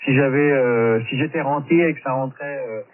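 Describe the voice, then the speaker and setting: A man's voice: mid-range voice, normal speed, slight background noise. A man with a mid-range voice chats informally as a radio amateur. The sound is narrow and tinny, like a voice through a small radio speaker. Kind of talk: casual ham radio chat. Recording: tinny band-limited radio transmission.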